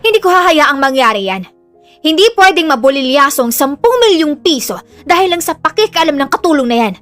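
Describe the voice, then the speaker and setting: Woman doing nasty scheming filipino mom in a radio drama, high sharp voice. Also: big pitch swings, brisk, soft background music. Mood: cold scheming. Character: spiteful, greedy, scheming mother.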